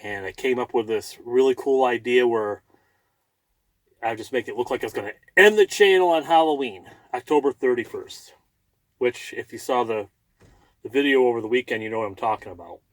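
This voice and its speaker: A man's voice: medium pitch, fairly quick, no echo, rough recording. A man with a folksy, rambling manner, chatting casually as a vlogger inside a truck cab. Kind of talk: casual vlog chat.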